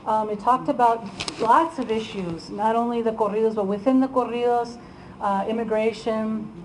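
A woman guest speaker talks casually to a room, filling gaps with ums and laughs. Her pitch sits in an ordinary middle range, with faint noise behind her. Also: a little mellow, rough recording. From Iowa, USA. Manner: chatty, with frequent ums and laughs. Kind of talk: informal lecture to an audience.

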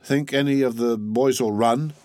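A man's voice: medium pitch, fairly even intonation, normal speed, a little mellow, no echo, studio quality, natural voice. A man reading aloud and voicing an anxious young recruit who speaks in a tentative, questioning way. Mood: uneasy.

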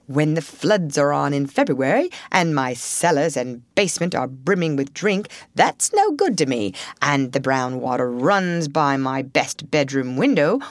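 Storybook water rat , female reader: genial riverside gentleman, talkative and emphatic, very lively pitch movement. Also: dry recording. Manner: emphatic, chatty, opinionated. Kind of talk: storybook character reading.

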